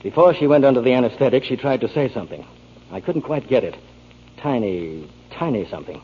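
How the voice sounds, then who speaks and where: Husky, authoritative doctor. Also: male voice, mid-range voice, lively intonation, fairly quick. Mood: grave, concerned. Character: kindly, authoritative male doctor and friend. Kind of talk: 1940s radio drama acting. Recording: dull 1940s radio broadcast recording.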